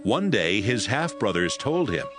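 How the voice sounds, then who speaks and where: A man narrating a dramatized Bible book in a steady, measured way, with music behind. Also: fairly low pitch. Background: background music.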